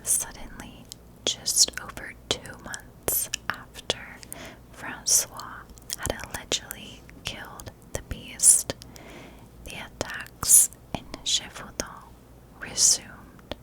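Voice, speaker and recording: A woman narrating in a soft, breathy ASMR whisper, telling a story with measured, deliberate phrasing. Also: low-quality recording.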